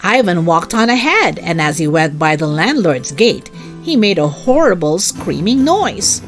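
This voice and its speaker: A woman reading a children's story aloud as an expressive storyteller. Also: on the low side, measured pace, soft background music.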